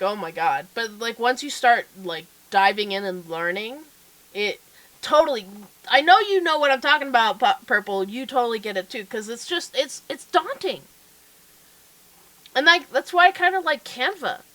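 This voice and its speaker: A woman's voice: mid-range voice, bright, forceful tone, low-quality recording. A woman hosting a casual livestream chat, a bit stuffy and nasal from a cold, talking in a chatty, upbeat way. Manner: chatty, upbeat, lots of fillers. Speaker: livestream host.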